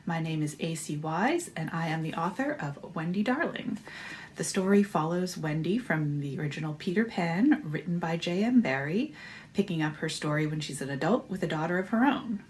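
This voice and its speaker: A woman with a slightly low voice presents trivia as an author. She gives a prepared talk to viewers, with faint noise behind her. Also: animated, moderate pace, a little mellow.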